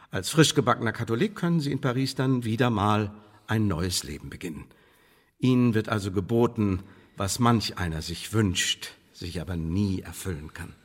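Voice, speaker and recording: An actor playing a coolly menacing English intelligence official in a radio play, speaking in formal, measured, condescending bureaucratic phrases. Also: male voice, medium pitch, close to an everyday voice. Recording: studio radio play with long reverb.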